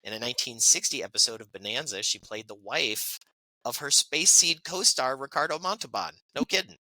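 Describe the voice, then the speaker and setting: A man's voice: medium pitch, brisk, pushed and bright, no room sound, rough recording. A man co-hosting a podcast, reading out birthday notes in a chatty way with fillers and asides. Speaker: podcast co-host. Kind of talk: casual birthday segment reading.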